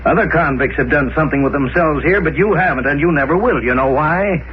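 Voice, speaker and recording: An actor playing a brusque, sarcastic colonial administrator, scolding in old-time radio drama style. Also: male voice, fairly high pitch, a little fast, close to an everyday voice. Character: exasperated, stern male colonial administrator on Mars. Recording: dull old radio recording with faint noise.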